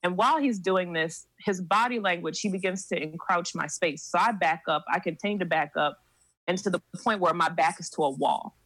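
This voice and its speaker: A woman guest on a podcast, telling a personal story in a measured way with many pauses. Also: lowish voice, a bit bright, no room sound, poor audio. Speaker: seminary student. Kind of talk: recounting a personal story in conversation.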